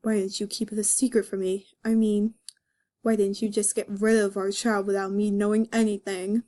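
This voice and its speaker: A woman's voice: medium pitch, flat delivery, moderate pace, mellow, voice pushed down, natural voice. A woman voicing an earnest, wounded male cartoon fox with a solemn, theatrical delivery in a comic reading. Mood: bitter, resigned. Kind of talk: melodramatic comic dramatic reading.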